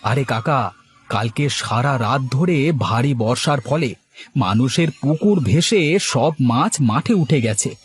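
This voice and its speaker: A man's voice: medium pitch, animated, a little slow, slight background noise, lightly acted. A man voicing an eager, upbeat younger village neighbour in a cartoon story, urging and chiding with questions.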